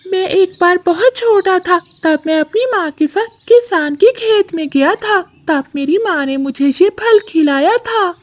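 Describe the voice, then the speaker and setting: A woman plays an earnest little male parrot in a children's cartoon. The voice is very high, unhurried and friendly, with a naive air. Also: expressive. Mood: eager recollection. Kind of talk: children's cartoon story acting.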